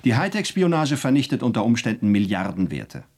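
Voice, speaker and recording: An actor playing a solemn official who speaks earnestly and moralizes in a radio drama. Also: male voice, medium pitch, very animated, a little fast, a little mellow. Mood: grave.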